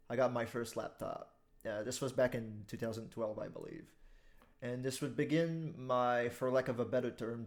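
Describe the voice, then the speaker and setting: A man in his twenties from Quebec with a Quebec French accent, a native French speaker delivering a casual, partly scripted monologue with frequent fillers. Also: mid-range voice. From Quebec, Canada. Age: 20s. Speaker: YouTuber.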